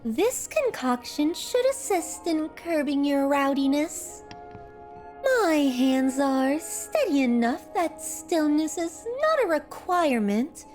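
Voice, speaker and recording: A grandiose, obsessive female sculptor and captor in an ASMR drama. Her voice is very high and she draws out her florid words extremely slowly, doting and menacing at once. Music plays behind her. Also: big pitch swings, a little mellow, full character voice. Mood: soothing menace. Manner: florid, pompous, drawn-out sweet menace. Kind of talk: ASMR roleplay, theatrical monologue.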